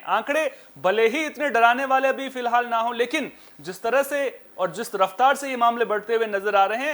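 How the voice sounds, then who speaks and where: A male news anchor with a notably high voice presents a bulletin. His delivery is emphatic, and he tends to repeat and rephrase key points for the viewer. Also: fairly bright tone.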